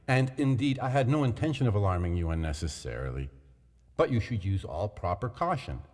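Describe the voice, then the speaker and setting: A man's voice: lowish voice, sing-song, brisk, darkish tone, pitched above the performer's own voice. A man voicing a scheming nobleman who pretends to worry for his companion. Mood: coaxing. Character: scheming, falsely concerned male nobleman. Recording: long hall reverb.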